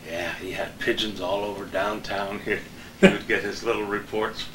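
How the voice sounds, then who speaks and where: A man's voice: on the low side, steady tone, moderate pace. An older man, once a radio worker, reminiscing casually with friends. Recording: echoey room, distant, faint noise.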